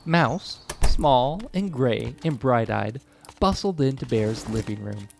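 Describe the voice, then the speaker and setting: A man narrating a children's picture book aloud in an expressive storytelling style. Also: medium pitch, slow pace, vocal fry, slight background noise.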